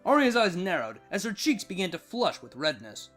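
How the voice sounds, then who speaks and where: Animated fiction narrator, mid-range voice between male and female, soft music underneath. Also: medium pitch, moderate pace. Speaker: narrator, fan fiction reader. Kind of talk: expressive scripted storytelling narration.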